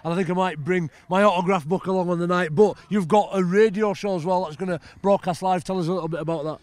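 A man's voice: high-pitched, fairly even intonation, fast talker, darkish tone, no room sound. A man in his thirties presenting a playful sports interview outdoors at a rugby field, speaking into a handheld mic. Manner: playful, laughs often.

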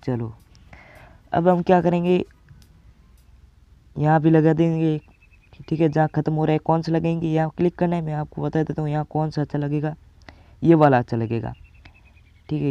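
Young male tutorial host, teens, from India. Informal step-by-step explaining, frequent check-ins, muffled low-grade recording. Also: fairly even intonation, normal speed, creaky, long pauses, warm tone, no room sound. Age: teenager. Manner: addresses viewers as friends, checks in often. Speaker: tutorial presenter. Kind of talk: casual step-by-step video editing tutorial.

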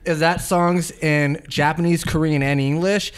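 A man hosting a casual studio interview, asking questions in an animated, chatty way. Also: a little high, a little slow, clear, resonant tone. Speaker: podcast host. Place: podcast studio. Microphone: studio mic on boom arm.

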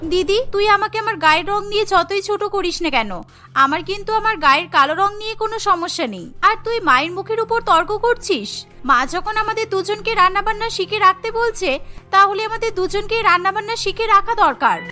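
A woman voicing a gentle, sensible and dutiful young sister in a Bengali cartoon story, speaking earnestly. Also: very high-pitched, lively intonation, brisk, faint music behind, full character voice. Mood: earnest, reproving.

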